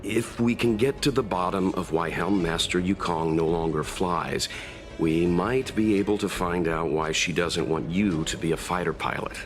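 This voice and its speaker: A man voicing a calm, sensible, courteous man in a video game, measured and reassuring. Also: deep voice, close to an everyday voice. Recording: game music clearly behind the voice.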